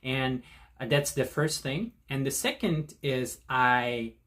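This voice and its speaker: A male vlogger talks to camera about learning languages, in a relaxed and unhurried way. He is not a native speaker of Korean, and he often stops to search for words. His voice sits at an ordinary middle pitch. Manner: slow, thoughtful, many fillers and restarts. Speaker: channel host. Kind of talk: casual talk to camera.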